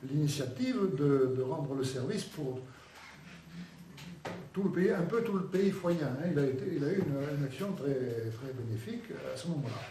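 Older man, interviewee, measured hesitant speech, dining room, echoey distant mic. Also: fairly high pitch, lively intonation, dark tone. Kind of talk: casual recollection. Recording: echoey room from far off, faint hiss.

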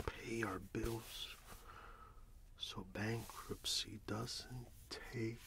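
A middle-aged man in a soft-spoken ASMR roleplay as a friendly candy shop owner, talking gently and unhurriedly close to the microphone. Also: fairly low pitch, steady tone, gravelly, background noise. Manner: gentle, whispery, unhurried customer service.

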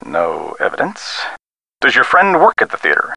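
A man's voice: mid-range voice, wildly swooping pitch, moderate pace, creaky, sharp tone, dry recording, exaggerated voice, rough recording. A man playing a brusque, skeptical police sergeant in a video game, with a dry procedural manner.

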